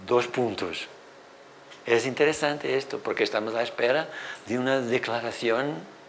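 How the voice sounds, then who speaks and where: A middle-aged man with a Portuguese accent, speaking Spanish as a second language, gives an animated university seminar lecture in a hall on a lapel mic, with many fillers. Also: on the low side, a little hiss or hum. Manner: animated, gestures at projected slides, thinks aloud with many fillers. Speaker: literature professor.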